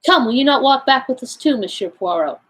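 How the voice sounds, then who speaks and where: A woman's voice: high-pitched, lively intonation, brisk, a bit bright, slightly rough, voice pushed up, exaggerated voice. A woman voicing a polite, composed lady visitor in an audiobook. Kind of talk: audiobook character dialogue.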